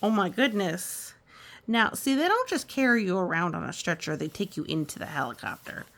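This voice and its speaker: Storytime reader, female: chatty and reassuring, very lively intonation, some vocal fry. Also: lowish voice, moderate pace. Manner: reassuring, musing, chatty commentary. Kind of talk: read-aloud with casual asides to children.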